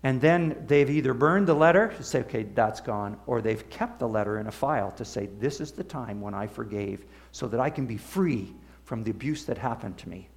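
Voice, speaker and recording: A man's voice: medium pitch, fairly quick, creaky, hardly any pauses. A man speaking as a pastor in a conversational sermon, checking in with his listeners. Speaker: pastor leading a church service.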